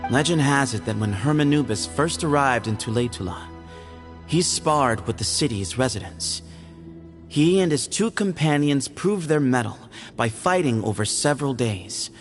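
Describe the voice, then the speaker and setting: An actor playing a solemn, pragmatic temple heir in a video game, speaking in a formal, measured way. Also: male voice, medium pitch, animated, soft background music, natural voice. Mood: solemn, resolute.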